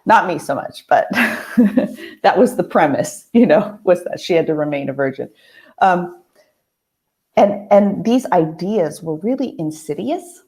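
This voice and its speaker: A woman hosting a casual heart-to-heart monologue, a little hoarse, talking thoughtfully with many pauses and restarts. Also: lowish voice, animated, a little mellow. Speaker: host. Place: family house, at night.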